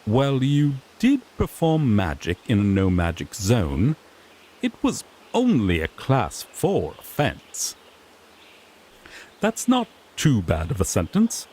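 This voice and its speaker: A man's voice: mid-range voice, huge pitch swings, slow, a little mellow, slight background noise. A man playing a sassy griffon knight in a fantasy audio drama, an earnest rookie lawman who explains things formally with mild exasperation. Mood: officious, patient.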